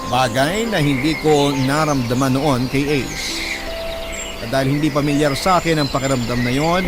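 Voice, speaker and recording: A man with a Filipino accent narrating a scripted first-person love story as a radio drama narrator. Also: medium pitch. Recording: loud music behind the voice.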